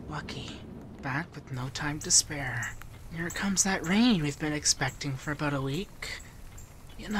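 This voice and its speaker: A man playing a doting, teasing feminine male monster companion in a softspoken, often whispered ASMR roleplay. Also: fairly high pitch, a little hiss or hum. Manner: breathy, often dropping to a whisper.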